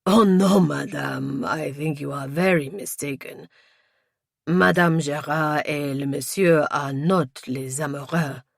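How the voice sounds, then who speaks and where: A man's voice: on the high side, steady tone, takes it slowly, creaky, no room sound, clean studio sound, heavily acted. Woman voicing a haughty French police inspector. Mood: amused. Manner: formal, stilted English sprinkled with French.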